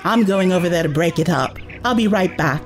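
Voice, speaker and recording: A woman acting in an audio drama as a bold, impulsive young adventurer with a brisk, take-charge manner. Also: on the low side, natural voice. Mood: firm. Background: loud background music.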